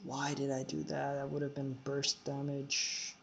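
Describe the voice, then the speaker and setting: A man's voice: mid-range voice, completely flat delivery, a little slow, gravelly, fairly bright tone, a little hiss or hum. A man streaming a mobile game live, chatting casually with viewers and giving tips between fights. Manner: casual, answers chat while playing, frequent fillers. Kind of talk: live-stream commentary while playing. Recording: dull lo-fi recording.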